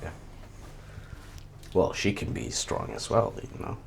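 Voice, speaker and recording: A man playing a terse, dutiful household guard in a tabletop game, gravelly and understated. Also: a bass voice, very animated, slow pace, heavily acted. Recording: faint background noise.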